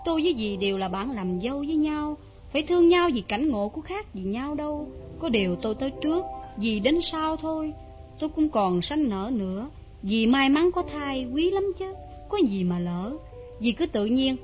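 High-voiced, dignified southern Vietnamese wife. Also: female voice, sing-song, moderate pace. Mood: gentle, restrained. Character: dignified, long-suffering young wife who stands her ground. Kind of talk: Vietnamese stage melodrama acting. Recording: dull lo-fi recording over music.

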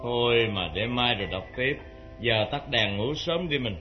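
A man's voice: mid-range voice, expressive, normal speed, soft background music, natural voice. An actor playing a weak, hot-tempered husband and mother's boy in a melodrama, wheedling and blustering, with a southern Vietnamese accent. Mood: wheedling, evasive. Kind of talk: Vietnamese stage melodrama acting. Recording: dull lo-fi old drama recording.

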